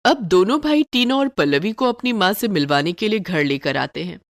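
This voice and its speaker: A woman narrates a scripted moral story as its storyteller. Her voice is medium in pitch and recorded dry, with very little room sound. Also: animated, moderate pace, no echo.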